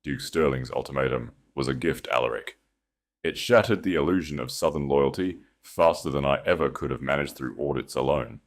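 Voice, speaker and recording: A man voicing a cold, shrewd queen in an audiobook, measured, composed and quietly commanding. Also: a bass voice. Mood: weary, calculating. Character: cold, shrewd, imperious queen, calm and calculating. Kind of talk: audiobook character acting.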